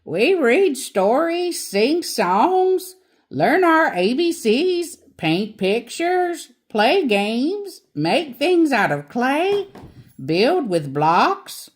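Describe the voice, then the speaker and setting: A woman voicing a jolly, loud female bear kindergarten teacher from a storybook, booming in a sing-song, drawn-out way. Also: very animated, full character voice. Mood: jolly, welcoming.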